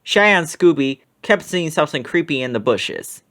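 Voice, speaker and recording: A man reading a children's story aloud in an expressive narrating style. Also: a little high, moderate pace. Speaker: storybook reader.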